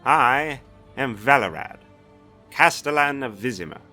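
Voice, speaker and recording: A man's voice: medium pitch, animated, unhurried, bright, forceful tone, soft background music, pitched above the performer's own voice. A man voicing a blustering, sardonic, authoritative castellan in a fantasy audiobook. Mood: commanding, scornful. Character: blustering, weary-wry male castellan of a fantasy city.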